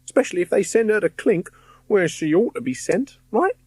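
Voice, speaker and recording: A man's voice: high voice, sing-song, a little fast, darkish tone, slightly rough, no room sound, voice pushed up, exaggerated voice. A man voicing a cheerful, streetwise ghost boy, chummy and reassuring.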